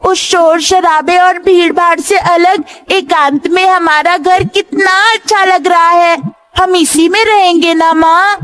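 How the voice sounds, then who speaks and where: A woman voicing an innocent, excitable young daughter in a cartoon moral story, speaking in an earnest childlike way. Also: extremely high pitch, slow, full character voice. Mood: happy, innocent. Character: innocent excitable little daughter of a poor family. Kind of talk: Hindi animated moral story dialogue. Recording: music behind the voice.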